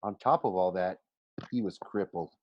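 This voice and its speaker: Man, slightly deep voice, expressive audiobook reading, dramatic pauses, muffled recording. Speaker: teacher reading a novel aloud for a class. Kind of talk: expressive first-person audiobook narration. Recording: roomy, slightly dull home recording.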